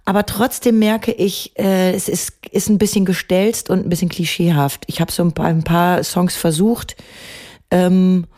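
A middle-aged woman, a songwriter guest, talking reflectively in an interview and pausing often to search for her words. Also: on the low side, level tone, warm tone. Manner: thoughtful, searching for words with many fillers.

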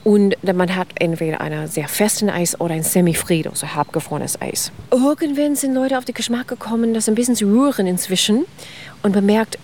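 A woman's voice: medium pitch, brisk, darkish tone. Woman, explains history with keen enthusiasm, small grammar slips, a bit of background noise. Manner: non-native German with grammar slips, enthusiastic, explains step by step. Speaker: ice cream history expert. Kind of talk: explanatory interview talk.

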